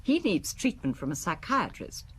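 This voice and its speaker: An actress playing a cold, elegant wife in an audio drama, speaking precisely with haughty contempt and pointed questions. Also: female voice, lowish voice, very animated, normal speed, slightly rough, full character voice. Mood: cool, pointed.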